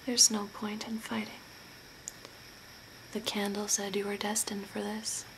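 A woman whispering softly and breathily in a soothing, deliberate ASMR role play, close to the mic. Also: medium pitch, completely flat delivery. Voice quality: breathy, mostly unvoiced whisper. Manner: soft, deliberate, soothing with short phrases. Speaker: ASMR performer playing a zombie makeup artist. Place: small curtained room at home. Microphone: close to the mic at a desk. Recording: clear background noise, room ambience.

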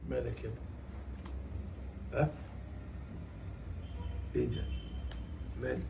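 An older man with an Egyptian Arabic accent playing an exasperated father in a family comedy sketch, scolding and sneezing loudly. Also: mid-range voice, level tone, a little slow, gravelly. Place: living room at home. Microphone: far camera. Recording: echoey room from far off, loud noise.

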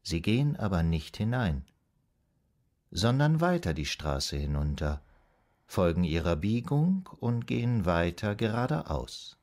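An actor playing a calm, soothing psychoanalyst in a radio drama, guiding a patient in a measured, hypnotic, gently instructing way. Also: male voice, low-pitched, heavily acted. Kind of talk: German radio drama acting.

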